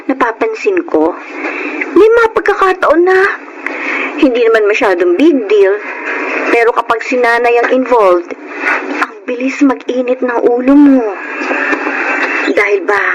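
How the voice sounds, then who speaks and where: High-voiced, coaxing younger sister. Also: female voice, animated, a little slow, long pauses, background noise. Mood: gently probing. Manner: coaxing, earnest. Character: caring younger sister, gently persuasive. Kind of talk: Filipino radio drama acting.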